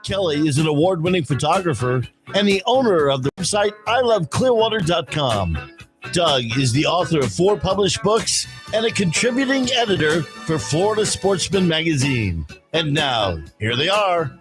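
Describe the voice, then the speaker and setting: A man's voice: a little high, very animated, moderate pace. A man reading a show introduction as the station announcer in a polished, scripted style. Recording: faint music behind.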